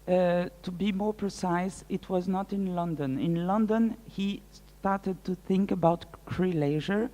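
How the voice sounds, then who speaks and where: A woman whose first language is French, answering audience questions as a guest lecturer, thinking aloud with many pauses and fillers. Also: lowish voice, dark tone. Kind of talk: live public Q&A. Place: large lecture hall. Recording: reverberant hall.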